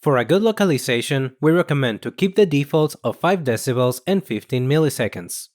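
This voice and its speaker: Guy doing scripted software tutorial voiceover, explains stuff in a measured way, mid pitch. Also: animated. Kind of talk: scripted instructional narration.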